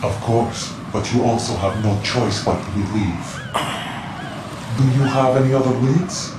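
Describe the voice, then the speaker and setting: An actor playing a mysterious, cold male informant in a video game, measured, cryptic and condescending. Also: medium pitch, background noise, natural voice. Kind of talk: dramatic video game voice acting. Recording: echoing space, loud music behind.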